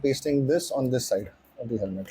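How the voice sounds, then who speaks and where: A man's voice: medium pitch, brisk, background noise. A man presenting a casual vlog walkthrough, explaining things step by step. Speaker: motorcycle vlogger and presenter. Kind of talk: casual unboxing and installation walkthrough.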